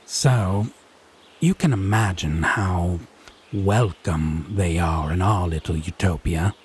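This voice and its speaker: A man playing a haughty, teasing, possessive fae in a scripted audio roleplay, speaking in wry, theatrical, deliberate phrases. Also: sits low, darkish tone, a little hiss or hum. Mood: lecturing, dry.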